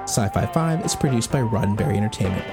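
A man's voice: lowish voice, animated, fast talker, loud music behind the voice. An American man hosting a podcast, reading scripted narration. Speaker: podcast host.

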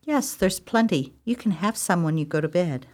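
Stern, deep-voiced sister played by an actress. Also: female voice, animated, moderate pace, a little mellow, close to an everyday voice. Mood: curt. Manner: firm, clipped, commanding. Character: stern, controlled, reproving sister in a gothic chiller. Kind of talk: theatrical radio play acting.

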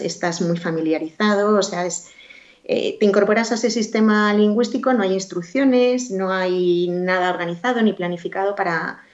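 A woman with a lowish voice answers interview questions at length. She hesitates, fills gaps with little sounds and circles back to finish her thoughts. The recording sounds dull and lo-fi. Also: darkish tone. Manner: hesitant, many fillers and restarts. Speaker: guest. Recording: dull lo-fi audio.

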